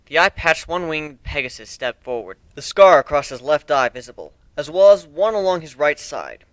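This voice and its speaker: A man narrates a story in a bright, lively voice, somewhat high for a male reader. The recording is close and dry. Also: normal speed, no echo. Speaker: amateur narrator. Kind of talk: dramatic story reading.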